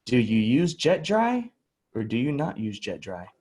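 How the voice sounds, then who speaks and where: A man plays an earnest male moderator in an improvised comedy debate. He speaks politely and hesitantly, in a medium-pitched voice. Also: huge pitch swings, measured pace, a little mellow, slightly rough, heavily acted. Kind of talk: improvised mock panel debate.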